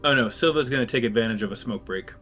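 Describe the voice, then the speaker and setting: A man's voice: mid-range voice, fast talker, fairly bright tone, soft background music. A man playing a tabletop game who issues orders to the others like a squad leader. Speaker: player. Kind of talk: casual tabletop play.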